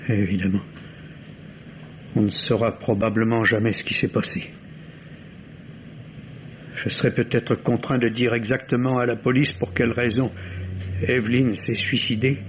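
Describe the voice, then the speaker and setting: A man's voice: on the low side, lightly acted. An actor playing an anguished, grave man torn between love and suspicion, in the theatrical style of a 1950s radio mystery. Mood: grave, insistent. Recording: dull, noisy old radio recording.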